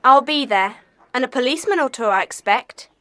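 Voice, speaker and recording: Bright, high-voiced eager schoolchild. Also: female voice, lively intonation, brisk. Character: eager schoolchild in a mystery club. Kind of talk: children's audio drama acting.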